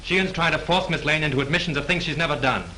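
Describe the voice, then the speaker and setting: A man's voice: on the high side, pushed and bright, rough recording. An actor playing a brash, sarcastic young man who turns amateur detective, in 1930s film style, with faint hiss from an old film soundtrack. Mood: wry. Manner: brash, quick-witted, sarcastic.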